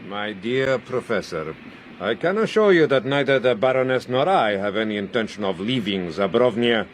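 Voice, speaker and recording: An actor playing a sinister, smooth doctor in a radio drama, speaking with measured, courteous menace. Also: male voice, medium pitch, lively intonation, lightly acted. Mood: coolly polite. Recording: clear background train noise.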